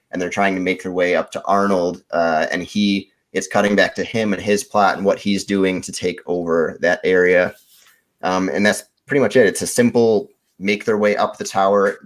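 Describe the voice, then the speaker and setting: A man giving a casual, rambling movie pitch as a podcast contestant.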